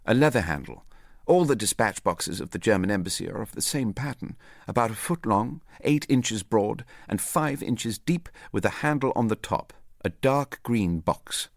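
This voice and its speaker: A man playing a tall, weary under-secretary in a radio reading, formal, discreet and measured in speech. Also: on the low side, expressive, gravelly, dry recording, studio quality. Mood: matter-of-fact.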